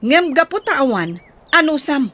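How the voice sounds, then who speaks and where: A woman's voice: sits high, very animated, a little slow. An actress playing a harried, bossy working wife and mother in a radio drama, insistent and nagging.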